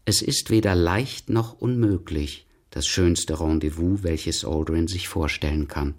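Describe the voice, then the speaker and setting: An actor in a radio drama playing a measured, lecturing male scientific expert. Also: low-pitched. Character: astropsychologist doctor, male expert on a mock space panel. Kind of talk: radio drama, scripted narration and dialogue.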